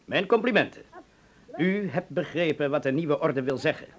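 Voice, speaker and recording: An actor playing a menacing, authoritarian German official in a radio drama, formal and commanding, with a German accent. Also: male voice, fairly high pitch, expressive, normal speed, lightly acted. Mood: smug, formal.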